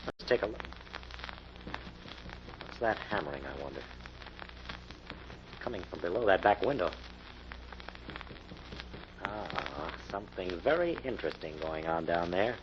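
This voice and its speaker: An old, muffled radio recording with clear noise carries a resolute hero detective. His earnest voice moves widely in pitch, in 1940s mystery serial style. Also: sing-song, measured pace, pauses often. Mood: curious, musing. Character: alert, resolute male crime-fighter investigating a crime.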